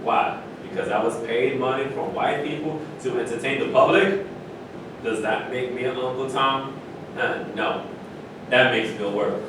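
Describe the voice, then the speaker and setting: A man's voice: moderate pace, close to an everyday voice. A man at a staged reading, playing a proud, self-assured retired sports star who argues his case. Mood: lecturing. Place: live staged reading in a room, distant microphone. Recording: echoey room from far off, rumbling noise.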